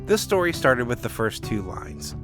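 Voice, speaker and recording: A middle-aged man speaking as author and host in measured, scripted podcast commentary. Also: medium pitch, big pitch swings, over music.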